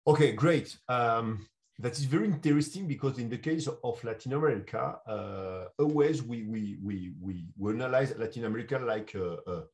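A man's voice: medium pitch, darkish tone. Man answering interview questions. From France. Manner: measured, non-native English phrasing. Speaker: guest, insurance consulting CEO. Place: home room. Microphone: video call.

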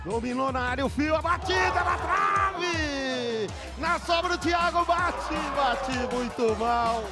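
A man calls a football match at a very high, strained pitch, yelling the goals. A packed stadium roars loudly behind him, with music blaring under the call. The clip comes from a match broadcast. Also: animated. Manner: shouting goal calls, rapid. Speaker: football radio commentator. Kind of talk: excited play-by-play commentary. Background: stadium crowd.

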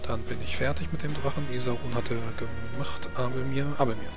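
A man's voice: mid-range voice, steady tone, fairly quick, over music. A man speaking as game master of a tabletop role-playing session.

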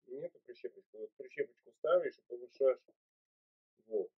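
A man's voice: medium pitch, moderate pace, breathy edge. A man in his forties chatting over a video call from a small room at home, giving fatherly encouragement. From Uzbekistan. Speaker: video chat host. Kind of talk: casual video chat. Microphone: webcam mic. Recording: tinny phone-like webcam audio.

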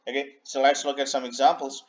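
A man teaching an English grammar lesson over slides, unhurried, repeating himself and filling pauses with "okay" and "uh". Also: mid-range voice, flat delivery, highs cut off. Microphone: voice-over on a screen recording.

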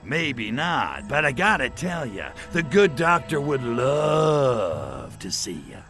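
An actor playing a brash, wisecracking alien invader in a video game, with an American accent and a cynical, slangy manner. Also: male voice, medium pitch, sing-song, slow pace, a bit bright, soft background music, exaggerated voice. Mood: teasing, smug. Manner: sardonic, slangy American wise guy. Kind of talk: video game cutscene acting.

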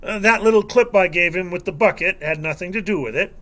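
A man voicing a stern pirate captain in a storybook, speaking with precise, measured command. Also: high-pitched, a bit bright. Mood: curt questioning.

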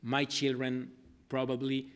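A middle-aged man talks at a lectern in a lecture room, thinking aloud as he answers questions. He circles back and restarts often, filling pauses with "uh" and "you know". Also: mid-range voice, monotone, clean tone. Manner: hesitant, many restarts and fillers. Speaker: speaker at an academic forum. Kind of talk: answering audience questions at a talk.

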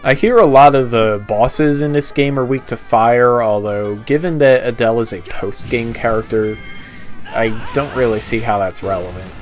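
A man giving casual, halting commentary on a video game, his phrases often trailing off. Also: medium pitch. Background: video game music.

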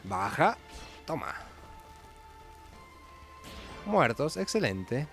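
A man's voice: mid-range voice, big pitch swings, slow, a bit bright. A man giving casual, playful game playthrough commentary as the host. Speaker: gaming video host. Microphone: voice-over mic over game audio. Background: game music.

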